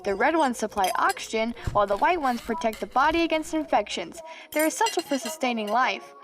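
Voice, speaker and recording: Woman playing a high, earnest little girl. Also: expressive, a little fast, faint music behind, lightly acted. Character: sweet, earnest little girl, dutiful daughter. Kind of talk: stylised retro family radio drama.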